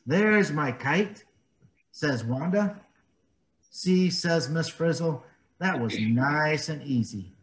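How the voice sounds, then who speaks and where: A man reading a picture book aloud to young children, gently explaining it in simple words and asking them questions. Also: on the high side, lively intonation, takes it slowly, slight background noise. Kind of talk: storybook read-aloud with explanations.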